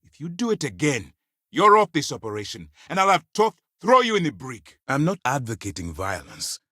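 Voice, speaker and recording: An actor playing a stern, principled middle-aged military commander in a space video game, speaking in a measured, formal, authoritative way. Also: male voice, fairly low pitch, big pitch swings, dry recording, clean studio sound. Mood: stern, angry. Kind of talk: dramatic video game dialogue.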